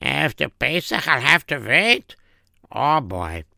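A man's voice: fairly high pitch, wildly swooping pitch, slow pace, gravelly, pushed and bright, no echo, clean studio sound, exaggerated voice. A man doing a fussy, fretting old father. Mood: dismayed. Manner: sighing old-man exclamations. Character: aging father, fussy and worried.

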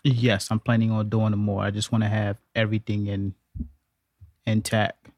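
A man's voice: lowish voice, flat delivery, normal speed, a little mellow, dry recording, studio quality. A man on a podcast talking casually and thoughtfully as a guest. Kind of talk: casual chat.

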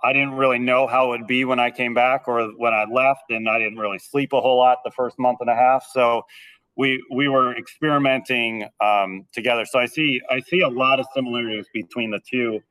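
A man's voice: medium pitch, fairly even intonation, a little fast, a bit bright, distant mic, low-quality recording. A man in his thirties who is a school band director, talking through his teaching in a podcast interview, with a slightly nasal voice and frequent repeated words as he starts his sentences.

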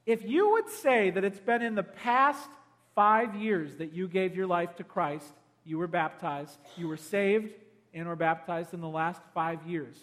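A pastor delivering an altar call sermon, urging and pleading, swinging from loud appeals to hushed, quiet invitations. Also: male voice, sits high. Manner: urgent, pleading, swells loud then drops to a hush.

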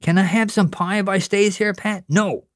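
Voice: fairly even intonation, normal speed, no echo, studio quality, lightly acted. A reader voicing a curious, whiny little boy, nagging his older brother with questions. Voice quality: very high, childlike.

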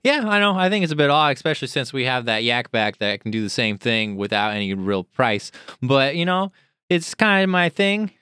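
A young man chats casually as a podcast co-host, hesitant and full of fillers as he plays along. His voice is smooth and clear at a middle pitch, and the sound is dry. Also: big pitch swings, normal speed, pushed and bright, dry recording. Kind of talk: casual comedic podcast chat.

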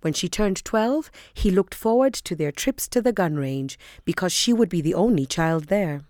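A woman's voice: fairly low pitch, lively intonation, normal speed, darkish tone, no echo, clean studio sound. A woman reading scripted literary narration for audio fiction.

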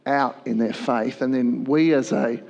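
A man, a church worship leader, giving a measured, thoughtful answer on a panel. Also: mid-range voice, steady tone, gravelly, a little mellow, muffled recording. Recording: long hall reverb.

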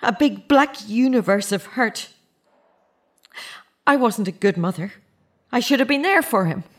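An actress playing a guilt-racked, middle-aged grieving mother in an audio drama, anguished, halting and hard on herself. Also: on the high side, lively intonation. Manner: anguished, self-accusing, halting.

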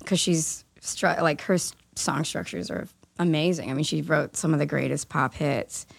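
A woman musician with a raspy voice, chatting loosely as a guest with many fillers. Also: low voice, fairly even intonation, moderate pace, no echo. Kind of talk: casual chat.

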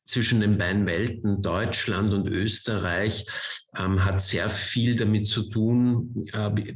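Viennese man, low voice, echoey room. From Vienna, Austria. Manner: thoughtful, restarts often. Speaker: guest speaker, author. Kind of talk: panel talk presentation.

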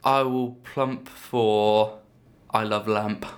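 A young man with a croaky voice, a guest on a casual film podcast, retelling plots in a rambling, hesitant way. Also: on the low side, fairly even intonation, drawn-out words. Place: small studio.

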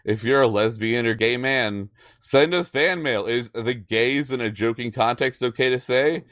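A man's voice: medium pitch, moderate pace, fairly bright tone, no echo. Rambling man in a dry recording. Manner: rambling, self-interrupting, sweary. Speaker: podcast co-host. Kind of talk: casual chat.

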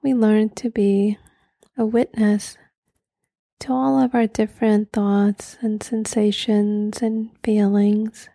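A woman guiding a meditation in a soft, sometimes breathy voice, gentle and soothing, with long pauses. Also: mid-range voice, little pitch movement, dry recording, studio quality. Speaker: meditation guide. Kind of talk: guided meditation.

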